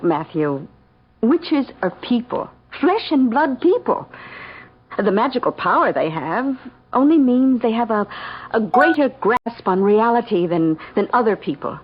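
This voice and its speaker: An actress playing a calm, indulgent woman confidante who soothes and reassures, in an old-time radio drama. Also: fairly high pitch, measured pace, husky, exaggerated voice. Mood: reassuring. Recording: dull old radio recording.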